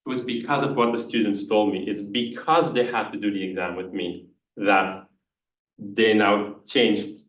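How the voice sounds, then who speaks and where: A man with a Belgian accent gives a research talk as an engineering professor, hesitant and full of restarts and filler words. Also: on the low side. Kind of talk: conference talk with audience questions. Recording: echoey room, voice from far off the mic.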